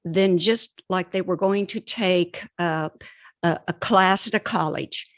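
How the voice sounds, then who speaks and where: A woman speaking as an author and mentor in an interview, earnest, deliberate and emphatic. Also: lowish voice, no room sound, clean studio sound. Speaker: podcast guest, author and writing mentor. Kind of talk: conversational interview.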